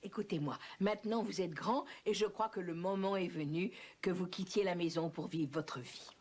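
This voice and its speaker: A woman voicing an affectionate, kindly old grandmother pig, gentle but firm, in a French children's cartoon. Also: lowish voice, moderate pace, husky. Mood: solemn, tender. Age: older. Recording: music behind the voice.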